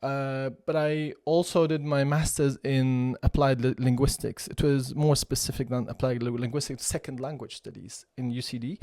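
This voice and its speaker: A man's voice: mid-range voice, fairly even intonation, moderate pace, hardly any pauses, a little mellow, a little hiss or hum. A man in his forties from Iraqi Kurdistan with a Kurdish accent, a podcast guest who speaks thoughtfully and hesitates often. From Kurdistan region of Iraq. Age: 40s. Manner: thoughtful, with many fillers and restarts. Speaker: podcast guest, chief executive of a cultural centre. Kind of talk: conversational interview.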